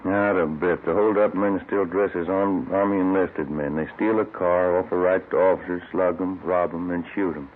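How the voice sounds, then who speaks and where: An actor playing a plain-spoken, tired detective in a 1950s radio police drama, terse and dutiful. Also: male voice, deep voice, steady tone, normal speed, hardly any pauses, natural voice. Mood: weary. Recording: muffled old radio recording, faint noise.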